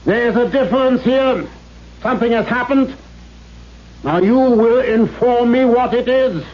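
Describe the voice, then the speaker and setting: A tyrannical, aging father. He speaks slowly and formally, weighing each word with stern, suspicious menace. The sound is that of a dull old 1970s radio drama. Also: very high-pitched. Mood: commanding, menacing. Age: older. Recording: dull vintage radio recording.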